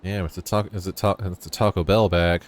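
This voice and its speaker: A man's voice: low-pitched, flat delivery, brisk. A man giving casual live commentary as a game streamer.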